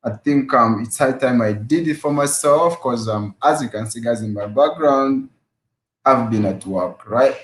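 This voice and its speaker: A man's voice: medium pitch, unhurried, a little hiss or hum. A man hosting a casual fitness live stream, greeting viewers by name and repeating his phrases with energy. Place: his room at home after work. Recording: echoey room.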